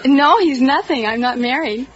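A woman's voice: high-pitched, moderate pace, very noisy. An American woman from Idaho giving short unscripted answers as a contestant on an old radio quiz. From Idaho, United States. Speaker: camp counselor, quiz contestant. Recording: dull old radio broadcast recording.

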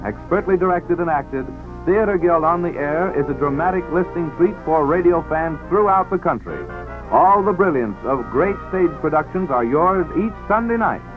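A formal male announcer reads a polished 1940s radio promo. His voice is fairly high and comes through a tinny vintage broadcast with music.

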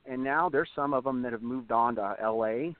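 A slightly hoarse young man talks casually about his acting work and rambles with plenty of fillers. His voice comes through a narrow, tinny phone line with a dry sound. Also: medium pitch, normal speed, no room sound. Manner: rambling, many fillers and restarts. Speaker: actor and voice actor guest. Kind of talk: casual interview over the phone.

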